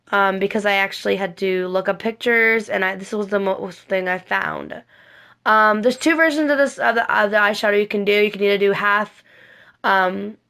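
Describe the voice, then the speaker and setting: Hoarse, slightly raspy woman, rambling casually. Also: mid-range voice, a bit bright. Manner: rambling, repetitive, self-correcting. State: hoarse from a lingering cold. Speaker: cosplay makeup vlogger. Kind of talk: casual makeup tutorial talk.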